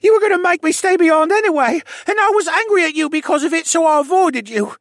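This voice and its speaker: A man's voice: very high voice, fast, fairly bright tone, no echo, clean studio sound, pitched above the performer's own voice. A man voicing an anxious, emotional teenage stable boy who stammers and blurts things out. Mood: distraught.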